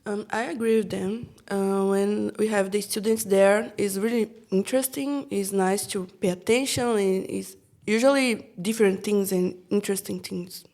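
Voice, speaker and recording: A woman's voice: medium pitch, fairly even intonation, moderate pace, darkish tone. Hesitant young woman from Brazil. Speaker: podcast guest.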